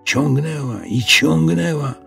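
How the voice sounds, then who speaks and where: A man narrating a children's story in an expressive, scripted storytelling style. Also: on the high side, takes it slowly, gravelly, soft background music.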